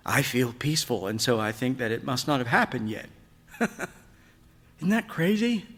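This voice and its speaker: Man as tentative, low-voiced grieving father. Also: expressive, moderate pace, fairly bright tone. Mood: wistful, confiding. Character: gentle grieving widowed father, tentative and weary. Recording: reverberant hall, live stage reading.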